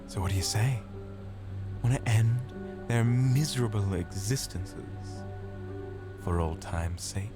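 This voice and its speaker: Man voicing a slow, sinister, taunting inner demon. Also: on the low side, over music. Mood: mocking, malevolent. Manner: sinister, mocking, drawn-out. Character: malevolent taunting male inner demon, a hallucination. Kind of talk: audio drama villain dialogue.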